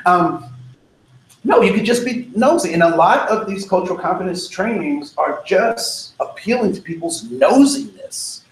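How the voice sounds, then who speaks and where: A man's voice: high voice, lively intonation, unhurried. Casual, digressive man lecturing. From United States. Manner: casual, digressive, frequent "right?" and "um". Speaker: diversity trainer. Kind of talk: conversational workshop lecture. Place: training room. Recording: echoey training room, faint noise.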